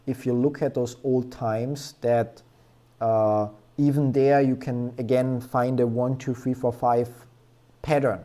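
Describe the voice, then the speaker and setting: Young male streamer with a warm, relaxed tone who walks viewers through charts in a loose, off-the-cuff style. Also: mid-range voice, slow pace. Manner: rambling, filler-heavy, thinks aloud. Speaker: stock trading streamer. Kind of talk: casual live chart analysis.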